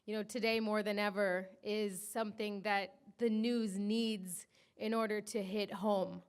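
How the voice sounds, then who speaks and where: A woman's voice: medium pitch, steady tone, a little slow, smooth. An American woman in her thirties, from the Washington area, giving chatty, informal closing remarks at a panel event. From Washington area, USA. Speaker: co-founder of a digital media company.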